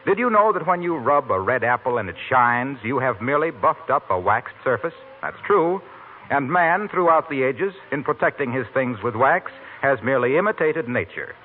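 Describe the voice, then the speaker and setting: A male radio announcer with a lively, swinging medium-pitched delivery. He reads a scripted 1940s commercial in a polished sales manner, on a tinny recording. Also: moderate pace, slight background noise.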